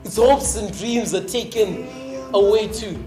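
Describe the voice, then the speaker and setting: A woman performing a theatrical spoken-word monologue as a solemn, impassioned narrator, with deliberate dramatic phrasing. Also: medium pitch, close to an everyday voice. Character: solemn, impassioned young woman narrator decrying youth suffering. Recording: echoey stage hall, music underneath. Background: music.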